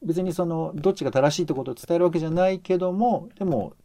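A man's voice: fairly high pitch, fast talker, mellow. A man co-hosting a casual podcast discussion, explaining his points as he thinks aloud. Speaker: podcast co-host. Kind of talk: casual podcast discussion critiquing radio shows.

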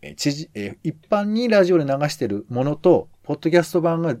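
Mellow, expressive male podcast co-host. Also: fairly high pitch, quick pace, dry recording, studio quality. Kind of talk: casual podcast discussion.

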